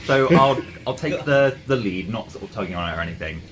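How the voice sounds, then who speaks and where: A man's voice: on the low side, animated, a little fast. A man talking casually as a player in a tabletop roleplaying game. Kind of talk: casual chat. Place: in-person game session in a room. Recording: clear background room noise.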